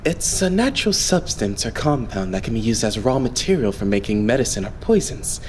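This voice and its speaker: A man voicing a polite, composed young idol leader in an anime fandub, explaining things in a measured way. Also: lowish voice, sing-song, darkish tone, slight background noise. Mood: calm explaining. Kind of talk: anime game fandub acting.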